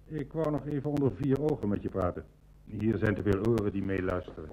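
Male actor as brisk bank manager, needling, quick pace, old radio drama, noisy recording. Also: on the low side, dark tone, close to an everyday voice.

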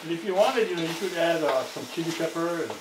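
Easygoing man cooking over loud frying. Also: a little high, expressive, fast, background noise. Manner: easygoing, explains step by step with fillers. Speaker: home cook demonstrating. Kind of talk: casual cooking demonstration. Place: home kitchen at the stove, indoors. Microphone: camera held by someone else a few feet away. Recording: roomy kitchen, loud frying behind.

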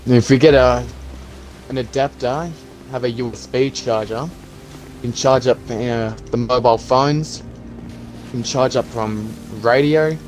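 A practical, hopeful survivor in a zombie game roleplay, played by a man. He thinks aloud in a halting, conversational way at a middling pitch. Music plays behind the voice. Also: fairly even intonation, darkish tone, close to an everyday voice. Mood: practical, planning. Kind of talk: improvised game roleplay skit.

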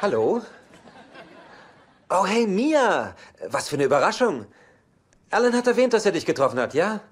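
A glib sitcom playboy, animated. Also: male voice, high-pitched, moderate pace, a little hiss or hum. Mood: flustered, polite. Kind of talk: dubbed sitcom dialogue.

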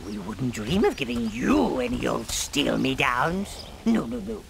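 Creaky old goblin advisor, wildly swooping. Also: male voice, medium pitch, big pitch swings, unhurried, full character voice. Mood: sly, reassuring. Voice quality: gravelly, creaky. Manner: theatrical, obsequious, swooping delivery. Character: old fawning evil goblin advisor to a dark overlord. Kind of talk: scripted video game character acting. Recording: game ambience noise behind voice.

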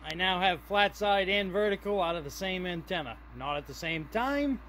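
A man's voice: sits high, animated, normal speed, fairly bright tone, a little hiss or hum. A man giving casual, folksy vlog commentary as a hobbyist showing his own handiwork. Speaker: host, hobbyist showing his antenna build.

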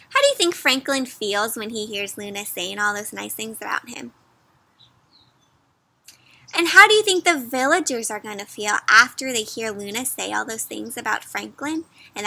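Young woman, children's read-aloud host. Very bright, high voice, animated and engaging with young listeners. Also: creaky. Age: young adult. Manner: animated, addresses listeners with frequent questions. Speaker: children's story reader, host. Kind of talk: interactive picture-book read-aloud for kids. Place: outdoors under trees.

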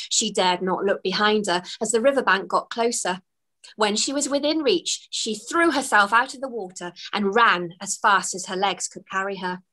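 A young woman reading her own children's book aloud over a video call from a room at home, expressive with gasps and small laughs. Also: mid-range voice. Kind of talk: storybook narration. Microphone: webcam on a video call.

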